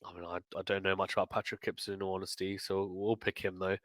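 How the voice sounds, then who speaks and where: A man hosting a casual, chatty tennis preview, thinking aloud emphatically, with a slightly croaky voice as he recovers from illness. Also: sits low, little pitch movement, fast talker, a bit bright, dry recording. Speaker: sports YouTube host. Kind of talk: casual tournament preview commentary.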